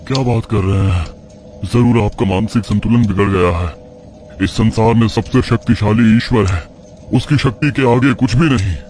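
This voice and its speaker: A man's voice: lowish voice, moderate pace, a little mellow, natural voice. A man playing a devout village priest and troubled father, telling his tale earnestly in a horror audio story. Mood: concerned.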